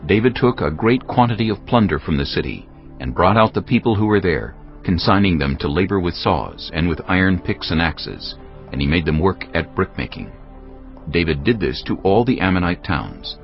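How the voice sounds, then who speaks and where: A man's voice: low-pitched, sing-song, normal speed, gravelly, muffled recording, soft background music. A man narrating scripture in a scripted, dramatized audio Bible production.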